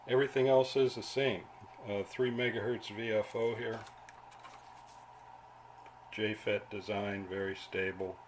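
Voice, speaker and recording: Lowish voiced guy doing a casual hobby show and tell, kinda halting, noisy homemade audio. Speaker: amateur radio hobbyist.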